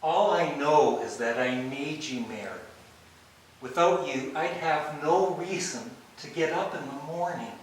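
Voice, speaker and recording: Needy, sarcastic older husband for stage comedy male actor, higher-pitched, heard from afar in a reverberant room. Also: unhurried, natural voice. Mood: pleading. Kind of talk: stage play rehearsal. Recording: distant echoey rehearsal room, faint noise.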